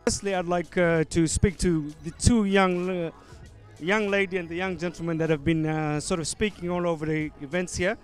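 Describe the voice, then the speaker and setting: A man interviewing people at an event, halting with frequent fillers and restarts. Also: high-pitched, fairly even intonation, clear, resonant tone, soft background music. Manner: hesitant, many ums and restarts.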